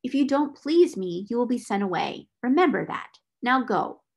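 A woman voicing a stern, domineering middle-aged schoolmistress with a sharp, scolding, imperious manner in a storybook reading. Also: mid-range voice, unhurried. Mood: curt, commanding.

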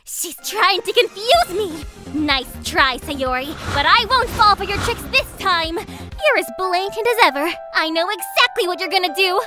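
Feisty hotheaded little anime gamer girl, really high voice all over the place. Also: expressive, normal speed, few pauses. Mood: indignant. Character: cocky, feisty, hot-tempered small anime schoolgirl gamer. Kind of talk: anime fan dub acting. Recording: music behind the voice. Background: music.

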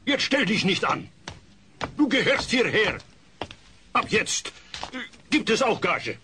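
Stingy pushy club boss, high sharp voice, radio play, noisy recording. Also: male voice, big pitch swings, slow, heavily acted. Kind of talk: German audio drama acting.

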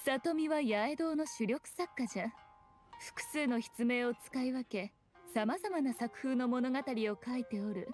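A haughty fox shrine maiden, high-pitched and composed. She speaks in lordly, archaic phrasing like a sly game character who knows more than everyone, over soft music. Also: a little slow. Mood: composed, authoritative. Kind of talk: scripted video game dialogue.

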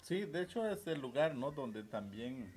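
A man's voice: mid-range voice, very animated, measured pace, darkish tone. A man in his forties with a southern Mexican accent, hosting an informal interview and often tagging questions onto his phrases. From Chiapas, southern Mexico. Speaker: host and interviewer. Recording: noticeable background ambient noise.

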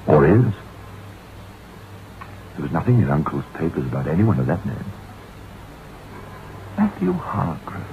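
Uneasy writer, very deep swooping voice, musing, hesitant, old radio horror play, muffled. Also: male voice, huge pitch swings, measured pace, pauses often, exaggerated voice. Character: curious, uneasy male writer narrating a haunted-house tale. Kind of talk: old-time radio acting. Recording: muffled, hissy vintage radio recording.

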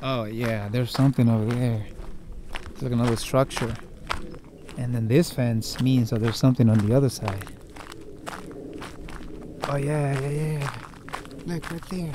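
A man's voice: medium pitch, unhurried, pauses often, noisy background. A young man in his twenties vlogging while exploring outdoors, thinking aloud and talking straight to viewers through a handheld camera. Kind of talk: casual vlog narration while exploring. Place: outdoors on open hillside with brush. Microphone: handheld camera at arm's length.

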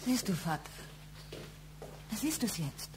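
Exasperated wife, highly expressive pitch. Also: female voice, unhurried, very noisy. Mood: reproachful. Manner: pleading, reproachful. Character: weary, exasperated wife caught between husband and father. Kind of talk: German radio crime drama acting.

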